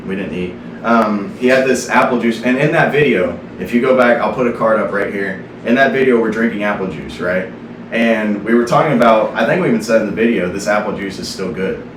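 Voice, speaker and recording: Young guy podcasting with buddies, lowish voice, slangy, far from the mic w lots of noise. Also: a little fast. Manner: slangy, says "dude" and "bro" often. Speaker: podcast host. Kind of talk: casual banter and storytelling with friends. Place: small indoor room. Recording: echoey small room, distant mic, noisy.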